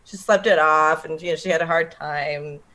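A woman narrating her turn in a tabletop roleplay, halting and casual. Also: sits low, steady tone, bright, forceful tone.

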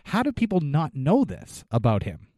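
A man interviewing someone conversationally, halting and searching for his words as he puts his questions. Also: a little high, wildly swooping pitch, mellow, no echo, studio quality.

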